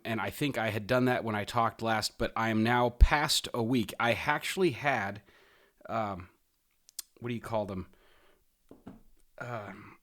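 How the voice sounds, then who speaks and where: A man's voice: fairly low pitch, fairly bright tone. A man hosting a podcast in a casual, opinionated monologue, stressing words emphatically and rambling into wry asides. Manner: emphatic, drawn-out stresses, mild swearing. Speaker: podcast host.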